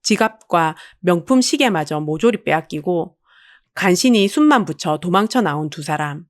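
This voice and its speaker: A woman's voice: fairly low pitch, lively intonation, normal speed, no echo, studio quality. A woman reading a scripted story as a dramatic audiobook storyteller.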